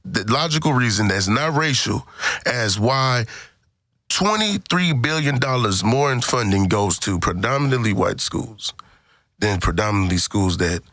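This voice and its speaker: Emphatic man with a bright, forceful voice. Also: medium pitch, expressive, unhurried, dry recording, clean studio sound. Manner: emphatic, repeats phrases for stress. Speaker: podcast host. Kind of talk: casual panel discussion.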